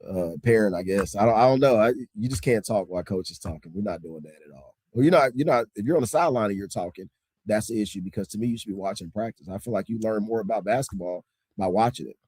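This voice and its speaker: A man, a high school basketball coach, talking casually in an interview, emphatic and repeating points for stress. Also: fast talker, darkish tone, husky, no room sound. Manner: strict, old-school tone.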